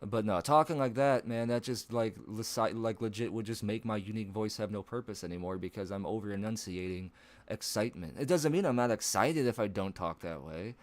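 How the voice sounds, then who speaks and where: A man with a fairly low-pitched voice that cracks into strange higher notes. He talks loosely and off the cuff on his live stream at home, rambling, cursing and laughing at his own jokes. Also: brisk. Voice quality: low voice with odd high breaks. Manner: rambling, swearing, laughs at himself. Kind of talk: casual live-stream chat.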